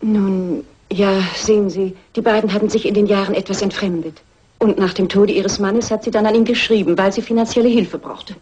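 A woman playing a shy, inhibited lady's companion in a radio crime play, husky, timid and primly defensive. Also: mid-range voice, fairly even intonation, a little fast, darkish tone, natural voice. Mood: earnest.

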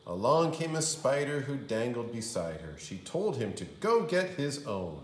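Male kindergarten teacher for children's rhyme read-aloud: theatrical and sing-song, swooping pitch, recorded in a roomy space. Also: very animated, measured pace, a little hiss or hum. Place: indoor room. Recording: roomy indoor echo.

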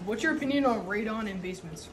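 A man's voice: high voice, animated, quick pace, very noisy. A teenage male student doing casual interviews on a phone in school hallways. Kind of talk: casual street-style interview. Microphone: handheld phone. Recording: distant phone recording with loud chatter.

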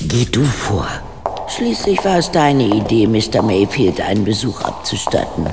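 A woman playing a shrewd older lady detective in a German radio play, speaking in formal, deliberate phrases. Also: extremely low pitch, big pitch swings, in a large hall, over music, exaggerated voice. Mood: measured, firm. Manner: formal, measured, deliberate wording.

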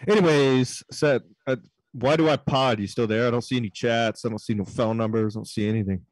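A man's voice: mid-range voice, fairly even intonation, fairly quick, no echo, poor audio. A man hosting a live comedy podcast on a video call, crude and wisecracking. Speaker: host. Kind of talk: casual comedy podcast banter.

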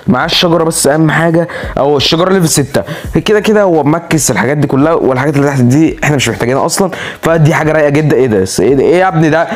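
A young man with an Egyptian accent, tired from no sleep, giving excitable, dramatic casual commentary as a gaming YouTuber showing off a game account. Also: fairly high pitch. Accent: Egyptian Arabic. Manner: excitable, dramatic outbursts, playful threats.

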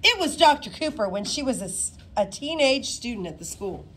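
A woman's voice: fairly high pitch, big pitch swings, fairly quick, a bit bright, voice pushed up. A woman voicing a male spy who poses as a composed, polite, businesslike police detective in a storybook.

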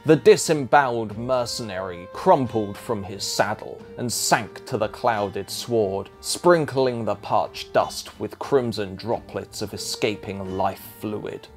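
A man's voice: on the low side, slow, few pauses, faint music behind. A man giving scripted narration as a video essayist.

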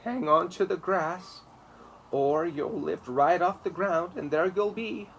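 A man voicing a loving, gentle old grandmother in a storybook, tender and emphatic. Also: sits high, takes it slowly, pitched above the performer's own voice. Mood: gentle, playful. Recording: ambient noise behind voice.

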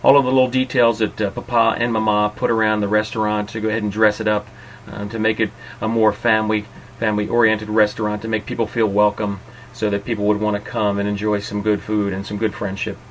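A man's voice: fairly low pitch, completely flat delivery, fast, slight background noise, low-quality recording. A middle-aged man hosting and narrating an informal guided tour. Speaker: host and narrator of a restaurant tour.

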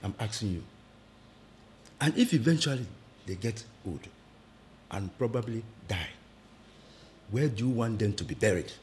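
A man's voice: lowish voice, animated, normal speed, pauses often, darkish tone, a little hiss or hum, close to an everyday voice. A man playing someone who summons a spirit and questions her, pleading and insistent.

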